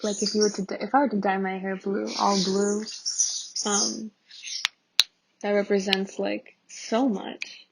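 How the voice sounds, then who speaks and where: A woman's voice: mid-range voice, gravelly, darkish tone, a little hiss or hum, poor audio. A young American woman giving a candid personal monologue in an informal, unfiltered way. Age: young adult. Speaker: anonymous testimony participant.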